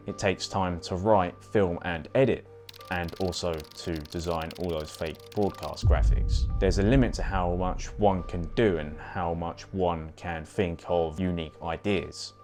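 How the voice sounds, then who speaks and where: A man presenting a scripted video essay in an explanatory way, with emphatic phrasing and pointed pauses. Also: low voice, a little mellow. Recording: voice-over with background music. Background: music.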